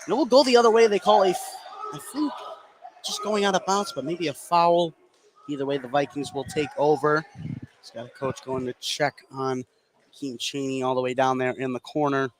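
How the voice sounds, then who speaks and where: A man doing live play-by-play basketball commentary, his delivery rising with excitement on big plays. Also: on the high side, moderate pace. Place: indoor basketball gym at a tournament. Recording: noisy gym behind live broadcast.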